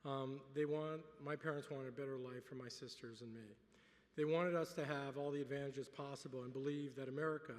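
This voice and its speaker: Middle-aged honoree at a podium, native French speaker, relaxed with dry humor, lots of ums, in a long-echo banquet hall. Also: male voice, mid-range voice. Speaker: gala honoree giving an acceptance speech. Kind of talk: speech at a gala dinner. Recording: reverberant hall.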